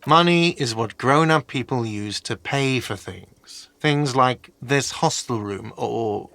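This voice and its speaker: An actor playing an exasperated, sarcastic hostel manager in a video game, with an irritated, condescending way of talking. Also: male voice, mid-range voice, slow, a bit bright, dry recording.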